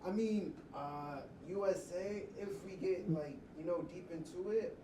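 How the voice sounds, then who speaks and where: A man's voice: high-pitched, unhurried, far from the mic. A young man from the American South with a Southern accent, talking casually as a yeshiva student, rambling with lots of "like" and "you know". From southern United States. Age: young adult. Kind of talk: casual conversation. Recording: roomy, off-mic, noisy.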